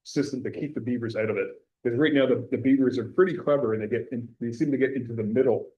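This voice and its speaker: A man's voice: mid-range voice, quick pace, warm tone. A man chairing a township council meeting, asking staff a question in a plainspoken, folksy way. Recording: muffled meeting-room audio.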